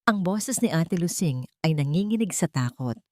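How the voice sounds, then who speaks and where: A woman's voice: on the low side, lively intonation, normal speed, no room sound, studio quality. A woman with a Filipino accent reading a dramatic story as an audiobook narrator.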